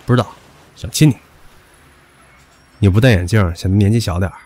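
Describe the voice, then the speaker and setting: An actor playing a hot-tempered, possessive young rich heir in an audio drama, brash and taunting. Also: male voice, lowish voice, a little fast, warm tone, slight background noise, lightly acted. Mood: teasing. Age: young adult. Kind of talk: Chinese audio drama acting.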